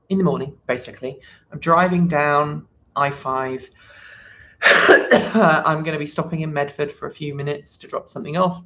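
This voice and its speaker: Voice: medium pitch, fairly bright tone, a little hiss or hum. A live stream host chatting casually and unscripted, rambling and thinking aloud. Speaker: channel host.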